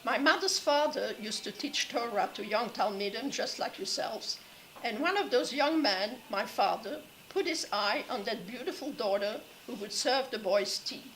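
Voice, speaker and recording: An older woman from Belgium, reading her prepared memoir to students in a measured way and often clearing her throat. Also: on the high side, animated, a bit bright, a little hiss or hum, rough recording. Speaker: Holocaust survivor speaker. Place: classroom.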